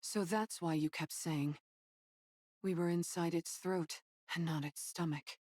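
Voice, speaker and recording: Low-voiced, wry game heroine. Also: female voice, fairly even intonation, a little slow, darkish tone, dry recording, studio quality. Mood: thoughtful. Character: composed, playfully amused young female fighter. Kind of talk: English game cutscene dub acting.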